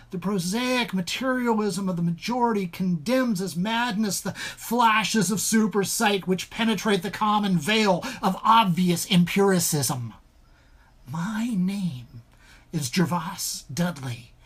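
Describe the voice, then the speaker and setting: Man acting out haunted gentleman narrator, bookish, defensive, formal, deliberate, ornate gothic storybook reading. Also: high-pitched. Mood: earnest, defensive.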